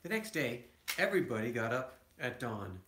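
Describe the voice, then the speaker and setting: A man reading a children's picture book aloud as a father to his kids, a little halting, with casual asides. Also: medium pitch, very animated, bright, forceful tone, a little hiss or hum. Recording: echoey room, home recording.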